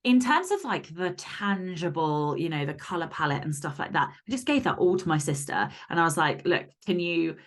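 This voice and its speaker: A woman with a moderately low voice talks as a guest author in a relaxed interview format. She is candid and informal, spelling out her thinking in detail as she goes. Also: normal speed, few pauses. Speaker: podcast guest, fiction author. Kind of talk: casual interview chat.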